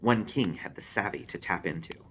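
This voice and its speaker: Male librarian-narrator for horror story: lowish pitch, very varied intonation, expressive read, clear background noise. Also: fairly quick, poor audio. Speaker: librarian reading aloud.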